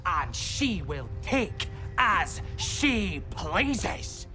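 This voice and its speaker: A man's voice: sits high, big pitch swings, extremely slow, pushed and bright, soft background music, heavily acted. A man playing a swaggering theatrical pirate in an audio drama, taunting his captives with grandiose, hammy delivery.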